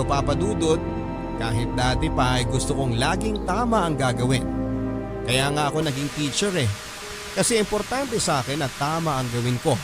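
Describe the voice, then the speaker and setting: A man narrating a first-person letter in a calm, steady reading. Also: mid-range voice. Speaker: letter reader. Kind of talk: scripted narration. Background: music.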